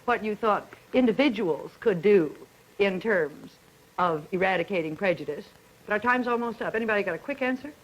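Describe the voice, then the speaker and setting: A middle-aged woman moderating a panel discussion, steering it with brisk, curious follow-up questions. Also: mid-range voice, expressive, rough recording.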